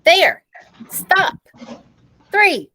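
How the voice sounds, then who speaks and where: A woman's voice: very high voice, sing-song, very slow, sharp tone, voice pushed up, heavily acted. A woman reading the part of a bold, earnest fifth-grade boy in a children's audiobook. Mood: eager, bold.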